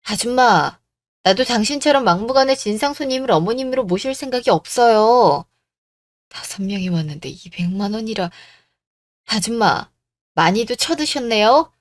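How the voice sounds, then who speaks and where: A woman's voice: on the high side, lively intonation, normal speed, natural voice. A woman voicing a plucky, assertive young bride-to-be with a cutting manner in a radio drama story. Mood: defiant, cutting. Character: bold, defiant young bride-to-be, plucky daughter.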